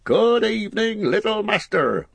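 A man voicing a busy, chatty, forgetful stout innkeeper who talks loudly in a high, lively voice. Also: creaky, slightly rough, no echo, heavily acted. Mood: harried, chatty. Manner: fast-talking, forgetful, harried. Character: bustling, chatty, stout middle-aged male innkeeper.

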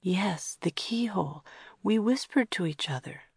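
A woman reading an audiobook, voicing a gentle, protective young woman who speaks in a soft hushed whisper. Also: medium pitch, lively intonation, measured pace, vocal fry, slightly rough, no room sound, clean studio sound, pitched above the performer's own voice, exaggerated voice. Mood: soothing whisper.

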